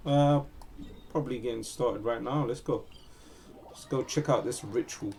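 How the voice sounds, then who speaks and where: A game streamer giving casual, laid-back commentary and chatting back to the characters on screen in a creaky voice. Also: medium pitch, moderate pace, darkish tone, a little hiss or hum.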